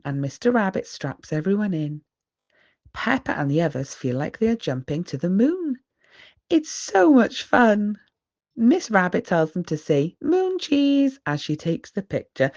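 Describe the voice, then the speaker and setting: A British woman, a teacher, reading a children's bedtime story aloud in an expressive, exaggerated storyteller manner. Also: fairly low pitch, lo-fi sound. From United Kingdom. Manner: animated, exaggerated storyteller delivery with gasps.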